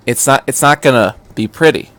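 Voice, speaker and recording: Man reading a story with halting asides. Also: mid-range voice, fairly bright tone, no room sound. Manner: halting, stammering, repeats himself. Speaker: amateur fan fiction reader.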